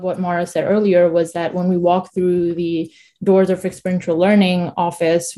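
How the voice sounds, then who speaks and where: A young woman from Nepal talks as an interview guest, upbeat and enthusiastic. Her voice is lowish and mellow rather than bright. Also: slight background noise. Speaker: former student guest.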